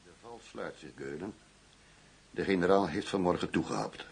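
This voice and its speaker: A man's voice: low voice, expressive, moderate pace, gravelly, slightly rough, dry recording, full character voice. A man playing a blunt, cynical, decisive middle-aged police investigator in a Dutch radio play. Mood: businesslike.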